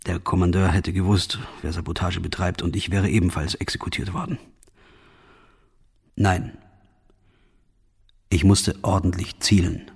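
A deep, raspy male voice as a soldier tormented by guilt. He confesses gravely in a first-person radio play monologue, as a reluctant member of a firing squad. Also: fairly even intonation, measured pace, long pauses, exaggerated voice. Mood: grim, resigned.

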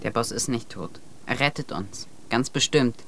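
A man's voice: mid-range voice, moderate pace, a bit bright, slight background noise, natural voice. A man playing a brave, loyal and hopeful young polar explorer, speaking earnestly in a German radio drama.

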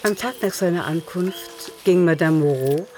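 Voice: medium pitch, normal speed, darkish tone. A narrator telling a literary story in a radio drama, in a composed manner. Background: music.

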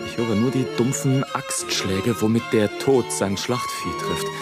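An actor playing an anguished, visionary balloonist in a literary radio play, declaiming a solemn dramatic monologue. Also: male voice, medium pitch, measured pace, over loud music, lightly acted. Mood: horrified, solemn. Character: anguished, visionary balloonist narrating war below, bitter and rapturous.

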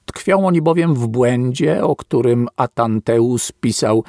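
A man reads in a slow, solemn, sermon-like cadence, his low voice gravelly and a little husky. His pitch rises and falls widely as he weighs each phrase. The recording is dry and close. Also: expressive, a little mellow, dry recording. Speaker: narrator. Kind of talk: solemn audiobook reading of a philosophical text.

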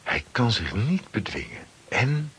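A man's voice: medium pitch, sing-song, unhurried, a bit bright, slightly rough. A man narrating a children's adventure story from a script, with dramatic delivery.

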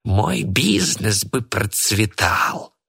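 A man voicing a cantankerous, sickly old man in an audiobook, spiteful and indignant, with a raspy, screechy voice. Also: sing-song, very slow, fairly bright tone, full character voice. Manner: shrill indignant outbursts.

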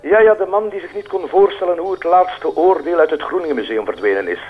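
A man's voice: a little high, quick pace, breathy edge, narrow, tinny audio, faint music behind. A man playing an eager, slightly hesitant Flemish police colleague reporting news in a radio drama. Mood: pleased, informative. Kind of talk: naturalistic radio drama acting.

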